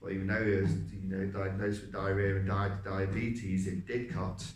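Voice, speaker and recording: A man with a deep voice, a stage actor talking through a role, hesitant with many fillers. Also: level tone, normal speed. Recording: roomy, from a distance.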